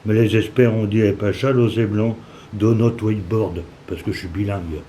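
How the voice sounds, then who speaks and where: A man in his seventies from Normandy with a Cauchois accent, reading a story aloud in dialect, halting at times with restarts and asides. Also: lowish voice, steady tone, noisy background. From Normandy, France. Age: 70s.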